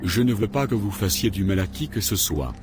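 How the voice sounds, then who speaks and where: Cartoon dub, male actor: earnest grown man, lowish voice, measured delivery, faint music behind. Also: lively intonation, natural voice. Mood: uneasy. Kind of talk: French-language cartoon dub.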